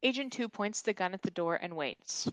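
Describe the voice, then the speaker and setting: A woman's voice: medium pitch, animated, moderate pace, heavy background noise. A woman reading stage directions as the narrator of a scripted comedy table read.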